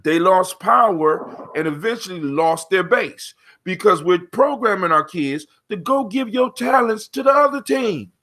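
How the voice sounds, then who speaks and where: A man's voice: high voice, animated, measured pace, pushed and bright. An American man in his forties speaking emphatically, with repetition, as he hosts an impassioned group discussion. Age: 40s. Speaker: discussion host.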